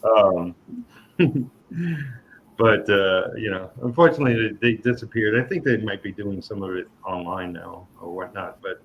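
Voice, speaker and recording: A man's voice: on the low side, normal speed. A man from New York hosting a casual interview chat, talking hesitantly with many ums and restarts. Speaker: podcast host. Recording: dull lo-fi sound with faint noise.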